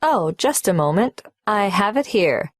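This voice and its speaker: A woman's voice: medium pitch, very animated, a little slow, dry recording, studio quality. A woman playing a young applicant filling in a tax form in a scripted exam dialogue, carefully spelling out names and numbers. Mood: polite.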